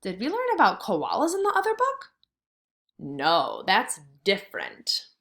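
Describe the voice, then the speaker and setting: A woman's voice: medium pitch, wildly swooping pitch, a little slow, a bit bright. A woman kindergarten teacher leading a read-aloud lesson, speaking in simple words and putting questions to her young pupils.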